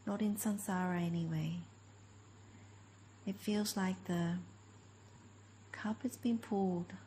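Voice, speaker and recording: A woman with a soft-edged, mellow voice guides a meditation. She speaks gently in short phrases, leaving long pauses between them, at a middle pitch. There is faint background noise on the recording. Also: fairly even intonation. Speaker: meditation teacher. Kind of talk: guided meditation, slow spoken instruction.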